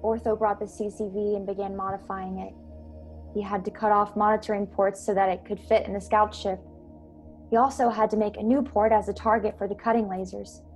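A woman's voice: mid-range voice, darkish tone, close to an everyday voice. A young woman playing a grieving starship crew member in a science-fiction radio play, telling her story dramatically. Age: young adult. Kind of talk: dramatic first-person radio play narration. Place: bedroom at home, video call. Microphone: earphone headset on video call.

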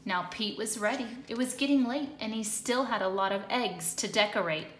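A woman reading a picture book aloud to children in an expressive, deliberate way, recorded in an echoey room. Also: mid-range voice, a little hiss or hum. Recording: echoey hard-floored room.

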